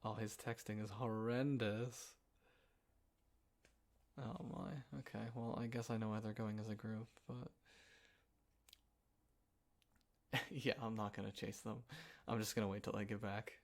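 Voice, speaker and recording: A man acting an easygoing, playful young guy in a casual roleplay audio, talking in a halting, chuckling, naturalistic way with a friend. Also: fairly low pitch, fairly even intonation, gravelly. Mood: amused exasperation. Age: young adult.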